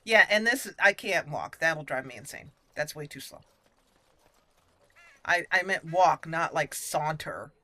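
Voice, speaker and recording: A woman's voice: deep voice, animated, unhurried, pushed and bright, no room sound. A woman hosting a let's play, chatting and thinking aloud as she roleplays through a game. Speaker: gaming channel host.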